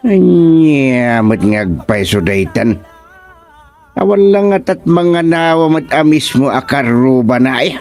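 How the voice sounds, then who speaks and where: A man's voice: medium pitch, expressive, slow, a little mellow, faint music behind. A man with an Ilocano accent playing a village husband who handles neighbours' complaints in a radio comedy drama. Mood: mildly concerned.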